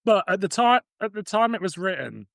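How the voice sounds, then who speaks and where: A man's voice: sits high, very animated, fairly quick, vocal fry, fairly bright tone, dry recording, clean studio sound. A man hosting a podcast in casual chat, with a blunt, sardonic way of talking.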